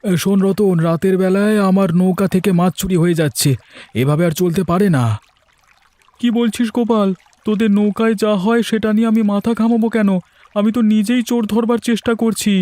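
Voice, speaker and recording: Cartoon village fisherman, acted by a man: high voice, accusing and defensive, very dry sound. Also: steady tone, a little fast, warm tone, dry recording, lightly acted. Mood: indignant. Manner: accusing, argumentative. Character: aggrieved village fishermen quarrelling, suspicious and defensive men. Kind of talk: cartoon fairy-tale dialogue.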